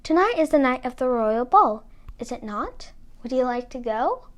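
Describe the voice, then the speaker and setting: A woman voicing a kindly winged fairy godmother from a storybook, gentle and reassuring. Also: high-pitched, animated, moderate pace. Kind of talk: storybook character voice.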